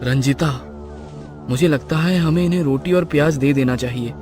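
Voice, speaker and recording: A man's voice: a little high, moderate pace, close to an everyday voice. A man acting a kind, thoughtful village husband in storybook dialogue. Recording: music loud under the voice.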